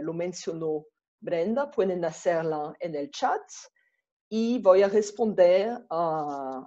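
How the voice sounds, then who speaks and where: A woman with a Brazilian Portuguese accent, a native Portuguese speaker, giving an online presentation slowly and carefully, with hesitations, over a video call. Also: lowish voice, dark tone. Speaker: webinar presenter. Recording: dull lo-fi video call audio.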